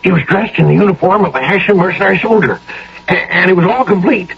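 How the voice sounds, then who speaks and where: An actor playing a frightened, plain-spoken old army sergeant in a radio drama, stammering in folksy, ungrammatical speech. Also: male voice, high-pitched, quick pace, vocal fry. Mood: shaken, earnest. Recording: dull, noisy old radio recording.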